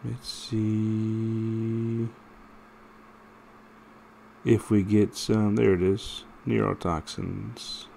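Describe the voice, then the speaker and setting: A man's voice: on the low side, level tone, extremely slow, vocal fry, pauses often, dark tone, a little hiss or hum. A man in his thirties chatting casually and rambling through stories while playing a mobile fighting game, direct and full of restarts. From United States. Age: 30s. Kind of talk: casual gameplay commentary.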